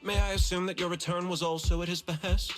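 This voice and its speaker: An actor playing a formal, smug company executive in a video game, pompous and courteous with veiled menace. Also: male voice, high-pitched, little pitch movement, quick pace, faint music behind, close to an everyday voice. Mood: formally polite.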